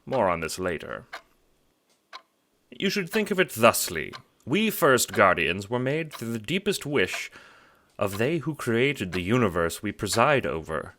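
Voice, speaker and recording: A man voicing a calm, courteous, smugly sinister puppet-like host in an audio drama, speaking in a mannered, formal, condescending way. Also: lowish voice, a little slow, voice pushed up. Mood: smug musing. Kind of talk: theatrical audio drama narration.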